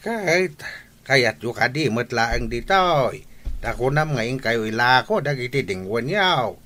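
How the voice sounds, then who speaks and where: A folksy village uncle talks slowly with an Ilocano accent. His pitch swoops about in a bright, forceful voice, in the manner of radio comedy. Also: very animated. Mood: surprised, welcoming.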